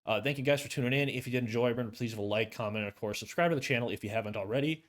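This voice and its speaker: A man with a hoarse voice giving a casual, rambling review of a video game as a YouTuber, full of fillers and restarts. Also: mid-range voice, rapid-fire. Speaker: gaming YouTuber. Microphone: USB condenser desk mic.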